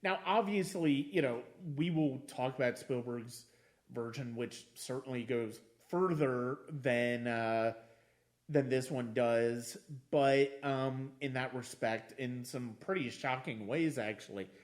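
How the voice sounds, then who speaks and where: A man hosting a casual film discussion, halting and repeating phrases as he thinks. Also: mid-range voice. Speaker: podcast host.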